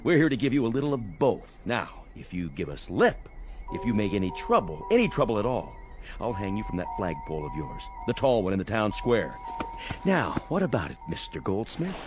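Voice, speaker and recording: A sneering, domineering military man in a radio drama. His delivery swings widely in pitch as he mocks and threatens in slangy talk, over a prominent music bed. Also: huge pitch swings, brisk. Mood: menacing. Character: cocky, bullying army major, sarcastic and menacing. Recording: music bed behind dialogue.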